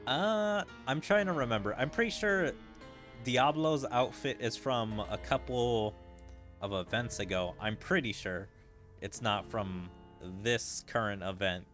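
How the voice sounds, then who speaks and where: A man's voice: medium pitch, measured pace, fairly bright tone. A man streaming a video game, reading story text aloud and musing casually over it in commentary. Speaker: gaming streamer. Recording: game music behind the voice. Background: video game music.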